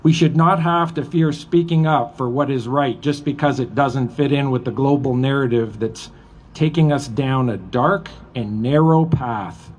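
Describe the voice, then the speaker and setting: A middle-aged or older man with a Canadian accent gives an earnest, deliberate, emphatic public speech at a live event. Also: medium pitch. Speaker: retired police officer speaking at a rally. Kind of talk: public speech, partly read from a letter. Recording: live event with background noise.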